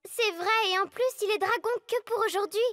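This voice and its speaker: A woman's voice: very high voice, fairly quick, bright, forceful tone, dry recording, clean studio sound. A woman voicing a feisty, sceptical little schoolgirl in a French cartoon, direct and questioning.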